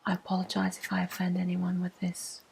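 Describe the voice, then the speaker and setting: Woman doing soft whispery asmr storytime, super breathy n crackly, flat and unhurried. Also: fairly low pitch. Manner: slow, hushed, pausing. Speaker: ASMR video host.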